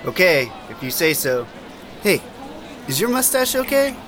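An actor playing a helpful, concerned bystander on the street in an audio drama, casual and solicitous. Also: male voice, sits high, very animated, measured pace. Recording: busy street crowd and noise behind.

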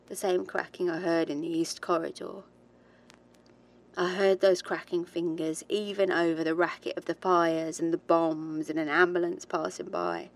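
A haunted but determined woman researcher, played by an actress speaks into her audio diary in a horror drama. Her voice sits a little low. She tells her story in a measured, reflective way, hesitating as she confesses. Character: haunted, determined woman researcher, British-born, recalling childhood ghosts.

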